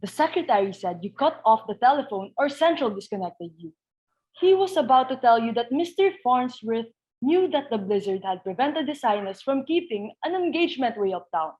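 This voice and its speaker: A woman's voice: on the high side, sing-song, normal speed, darkish tone, lo-fi sound. A woman playing a deferential household servant in a stage comedy reading, formal and polite as she reports to her mistress.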